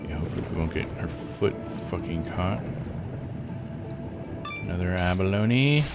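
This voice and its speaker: Low-pitched, gravelly man commentating a game. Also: animated, moderate pace. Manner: muttering to himself, slangy with mild swearing. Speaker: game streamer. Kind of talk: casual live gameplay commentary. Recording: loud game music behind the voice. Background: video game music.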